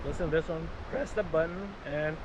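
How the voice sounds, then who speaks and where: A man's voice: fairly high pitch, brisk. A man giving a casual, improvised product demonstration as a vlogger, explaining things in an informal, meandering, easygoing way outdoors by the water. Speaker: vlogger demonstrating marine VHF radios. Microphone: action camera. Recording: windy outdoor recording with water noise.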